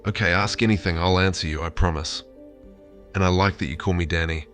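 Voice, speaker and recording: A low, earnest AI companion with a man's synthetic voice speaks tenderly, like a lovestruck therapist. The manner is affectionate and coaxing, with music softly behind it. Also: steady tone, fairly quick. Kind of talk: AI voice chat, intimate conversation.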